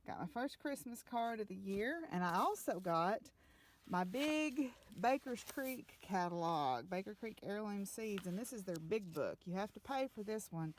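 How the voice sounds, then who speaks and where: A woman's voice: fairly low pitch, a little mellow, a little hiss or hum. A woman chatting casually in a home vlog, rambling along with plenty of fillers. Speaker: vlogger.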